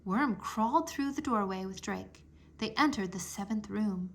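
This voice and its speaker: A woman narrating a children's adventure book aloud with expressive, dramatic phrasing. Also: mid-range voice, measured pace, very noisy. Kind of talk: children's storybook read-aloud.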